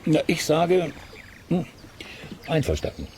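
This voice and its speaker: A man playing a curious, excitable, good-humoured explorer in a children's audio play, chattily exclaiming and muttering to himself. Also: a little high, slow, a little hiss or hum. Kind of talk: German children's radio play acting.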